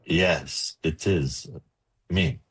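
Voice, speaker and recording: An actor playing an earnest, bold male charmer in a romantic comedy table read, with a husky voice. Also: rumbling low, very slow, heavily acted. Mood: flirtatious. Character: male lawyer, country vet, earnest and bold romcom charmer. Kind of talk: romcom table read acting.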